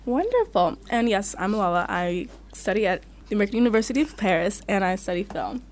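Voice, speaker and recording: A young woman from New York leads a relaxed student chat at a middle pitch. She sounds upbeat and quick, with a faint hiss of background noise behind her voice. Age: young adult. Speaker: host.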